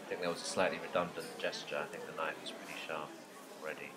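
Guy with a low voice slowly naming stuff in short bits, really loud busy market hall around him. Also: little pitch movement. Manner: terse, naming things one by one. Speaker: amateur videographer narrating a market visit. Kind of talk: sparse, casual running commentary. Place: large busy indoor fish market. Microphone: handheld phone or camera held by speaker. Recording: very noisy, crowded market hall. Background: loud crowd and market din.

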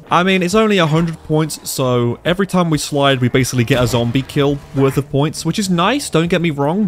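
Excitable man, stuffy with a cold. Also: a little high. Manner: excitable, reacts aloud to the game. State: congested from a cold. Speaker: gaming YouTuber. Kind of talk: casual gameplay commentary.

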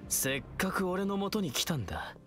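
An actor playing a taunting, playful and menacing young male villain in a video game, with a theatrical, teasing, drawn-out delivery. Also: sits high, big pitch swings, music in the background. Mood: playful, provoking.

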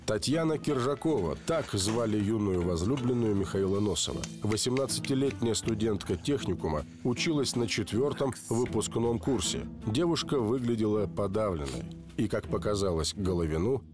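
A man reading scripted narration for a crime documentary in an even, serious tone. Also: lowish voice, normal speed. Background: background music.

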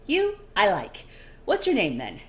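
Woman doing a smug flamboyant noble guy in an audio story, arch and teasing, pitched up. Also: wildly swooping pitch, a little slow, slight background noise. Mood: intrigued. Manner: theatrical, arch, prying. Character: smirking, flamboyant, teasing nobleman with a dramatic mustache.

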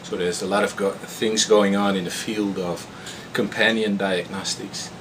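Male, 30s, Dutch accent, researcher doing an informal piece to camera. Lowish pitch, noticeable room noise. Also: measured pace. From Netherlands. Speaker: postdoctoral researcher. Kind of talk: informal self-introduction to camera. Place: indoors in a laboratory. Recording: clear steady room noise in lab.

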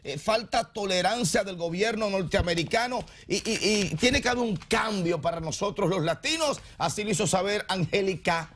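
A man's voice: high-pitched, normal speed, few pauses, a bit bright. A man hosting a morning TV gossip segment in a studio, playful and theatrical, teasing his co-host in a sing-song way. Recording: TV broadcast with music behind.

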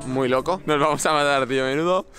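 A man's voice: fairly high pitch, quick pace, music in the background. A young man vlogging to a handheld camera outdoors in a city, joking crudely and boasting playfully. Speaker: travel vlogger. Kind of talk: casual vlog chat to camera. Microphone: handheld camera at arm's length.

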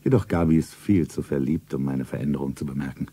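An actor playing a rich, secretive, suave lover who narrates himself solemnly in a stylised 1970s radio play. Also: male voice, very deep voice, dark tone, slightly rough. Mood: detached narrating. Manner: deliberate, solemn, self-narrating.

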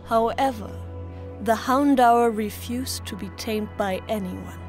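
A woman voicing a solemn, devoted young monster trainer in a manga dub, soft and wistful in delivery. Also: a little high, slow pace. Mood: wistful, resolute. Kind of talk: dramatic flashback narration in a manga dub. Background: music.

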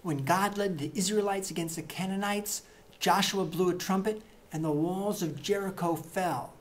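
A middle-aged man reading satirical excerpts to camera in a deadpan, mock-earnest way, in a plain indoor room. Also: sits high, moderate pace. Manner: mock-earnest, punchy, with gestures. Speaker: reader of satirical book excerpts.

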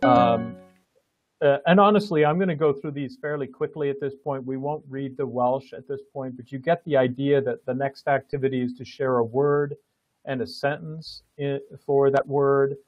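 Halting man leading a video call. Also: medium pitch, no echo. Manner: halting, many fillers and restarts. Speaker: meeting host presenting a program. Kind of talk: online planning meeting presentation. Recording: dull lo-fi call audio.

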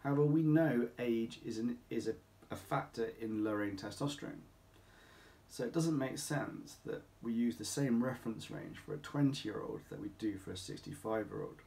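A middle-aged man speaking as a clinic doctor, explaining to camera in an emphatic, deliberate way with rhetorical questions. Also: medium pitch, creaky, warm tone. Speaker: doctor at a men's health clinic. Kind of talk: informal explainer talk to camera. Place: small home office or consulting room, indoors.

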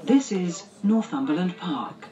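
A woman with a British accent reading formal, measured scripted train announcements with careful enunciation. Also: on the low side, lively intonation, recorded from a distance, lo-fi sound, crowd noise. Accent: British English. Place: inside trains, played over announcement speakers. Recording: noisy, echoey onboard speaker recording from afar.

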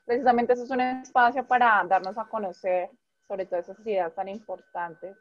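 A woman's voice: mid-range voice, fairly even intonation, moderate pace. A young woman with a mid-range voice hosts the interview in an upbeat, encouraging way. She speaks from an outdoor porch over a video call. The sound is somewhat dull and muffled. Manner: upbeat, encouraging, laughs easily. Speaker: interviewer, host. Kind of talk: friendly interview hosting.

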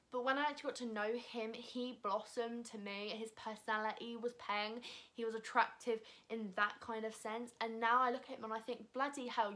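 A teenage girl with a British accent, chatting casually to camera in a vlog, with lots of fillers and animated gestures, sitting on a sofa in her living room. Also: a little high. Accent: British English. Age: teens. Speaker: YouTuber. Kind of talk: casual vlog answering viewer assumptions. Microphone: camera in front at close range.

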